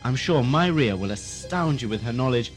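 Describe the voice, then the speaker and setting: A man playing a suave, scheming asylum keeper in an audio drama, florid and smoothly condescending. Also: medium pitch, expressive, moderate pace, soft background music, natural voice. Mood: suave, indulgent. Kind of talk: amateur audio drama acting.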